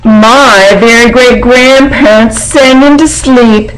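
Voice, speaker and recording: A woman's voice: a little high, fairly even intonation, takes it slowly, pitched above the performer's own voice, low-quality recording. A woman voicing a proud, boastful pigeon from a barn in a children's storybook. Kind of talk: storybook character reading.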